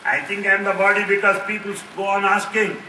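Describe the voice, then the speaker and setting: A middle-aged man answering audience questions in a lively talk, repeating key phrases and laughing often. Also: sits high, fast talker. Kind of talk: lively lecture with jokes. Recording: echoey hall, distant mic, faint hiss.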